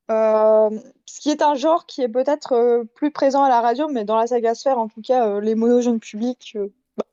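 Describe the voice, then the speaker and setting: Female podcast debater, mellow and dark timbre, measured, self-effacing delivery, very dry, dull-sounding mic. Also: fairly high pitch, no room sound. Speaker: podcast debate participant, audio fiction writer. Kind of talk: casual unscripted debate.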